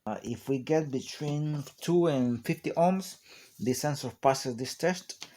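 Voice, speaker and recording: A man's voice: mid-range voice, unhurried, mellow. A man giving a hands-on auto repair tutorial, explaining each step in a plain, practical way. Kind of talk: hands-on how-to narration.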